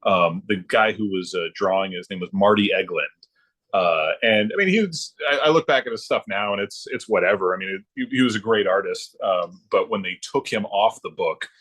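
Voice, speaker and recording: A man chatting casually as a podcast guest, in a halting, rambling way with frequent ums and repeated words. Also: lowish voice, a bit bright. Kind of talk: casual chat about hobbies.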